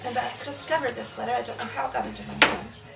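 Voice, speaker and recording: High-voiced non-native English-speaking woman. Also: steady tone, fast talker, gravelly. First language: not English. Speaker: party guest in a murder mystery game. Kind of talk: casual chat, reading a game script aloud. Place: home dinner party. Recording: echoey room, far from mic, loud music.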